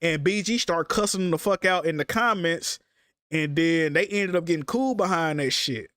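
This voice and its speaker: A man's voice: a little high, moderate pace, a bit bright, smooth, dry recording, clean studio sound. A man hosting a casual livestream, talking in loose slangy banter and swearing often, sounding congested. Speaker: streamer host.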